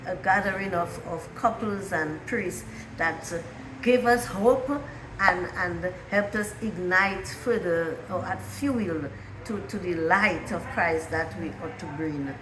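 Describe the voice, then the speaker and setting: A woman's voice: fairly low pitch, a little slow. A woman answering interview questions in a hesitant way, often repeating words. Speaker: interview guest, marriage encounter presenter. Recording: echoey room with clear background noise.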